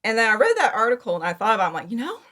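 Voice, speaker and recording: A woman's voice: on the high side, sing-song, very fast, fairly bright tone. A woman in her thirties chatting casually as an interview guest, enthusiastic, informal and giggly. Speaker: podcast guest, fan audio drama creator. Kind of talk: casual chat, interview answers.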